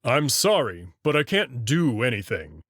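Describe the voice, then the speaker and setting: A man voicing a guarded male wolf who captains a ship, speaking in a measured, matter-of-fact way while reading a visual novel aloud. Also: medium pitch, very animated, a bit bright, slightly rough, no room sound, clean studio sound, full character voice.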